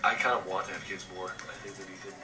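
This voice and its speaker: Young man, quick and halting, trails off, distant with noise behind. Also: sits low, little pitch movement. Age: young adult. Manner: hesitant, filler-heavy, trailing off quietly. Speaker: interviewee.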